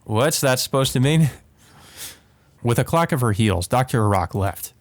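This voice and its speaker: A man's voice: medium pitch, lively intonation, normal speed, no room sound. A man hosting a let's play, reading visual novel text aloud with casual commentary.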